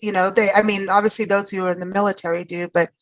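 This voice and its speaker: A woman's voice: medium pitch, little pitch movement, quick pace, no room sound. A woman with an American accent hosting a radio interview, chatting loosely and circling back on her sentences. Her voice sits in a middle range and sounds dry and close, with no room around it. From South Africa. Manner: chatty, rambling, frequent restarts and laughs. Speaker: radio show host. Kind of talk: casual conversational interview.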